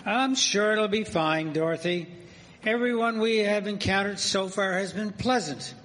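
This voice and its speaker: A man acting a courteous, reassuring, formally spoken Victorian gentleman in a live radio drama. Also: high voice, measured pace. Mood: polite, reassuring. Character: polite, genteel Victorian husband visiting town, reassuring. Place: performing arts centre stage. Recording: live stage, slight ambient noise.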